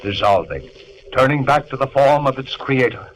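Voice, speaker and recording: A man doing a firm, resolute gentleman. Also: medium pitch, lively intonation, moderate pace, a little hiss or hum, close to an everyday voice, rough recording. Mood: solemn. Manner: firm, commanding. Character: resolute gentleman lawyer facing a monster. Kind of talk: 1940s radio acting.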